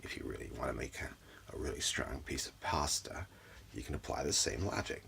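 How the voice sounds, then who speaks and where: A man with an extremely deep, crackling voice gives a soft ASMR healing session, often dropping into a whisper. He murmurs slowly and soothingly in short, repeated phrases, with a breathy edge. It is recorded close up in a small room at home. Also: lively intonation. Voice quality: whispery, breathy, low and gravelly. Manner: soothing, repetitive, unhurried, murmuring. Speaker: ASMR performer giving a reiki session. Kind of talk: soft-spoken ASMR role of energy healer.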